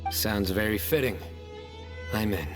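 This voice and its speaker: A man portrays a serious young warrior negotiating in a game scene. The voice is on the low side, steady and direct, with stoic resolve. It echoes in a long reverb over faint music. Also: unhurried, natural voice. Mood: resolute. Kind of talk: video game voice acting.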